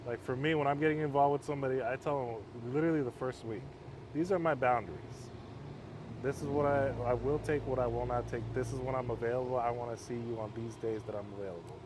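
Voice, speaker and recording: Male counselor guest, measured and flat-toned, casual talk recorded outside near traffic. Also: mid-range voice. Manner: calm, measured, self-described monotone. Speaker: interview guest, halfway home counselor. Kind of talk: casual conversation. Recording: outdoor street noise.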